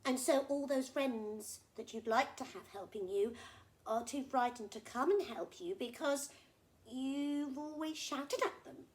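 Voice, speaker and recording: A woman playing a small, helpful bird in a children's story, brisk and frank, with a cautious streak. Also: high voice, pitched above the performer's own voice. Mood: frank, reproving.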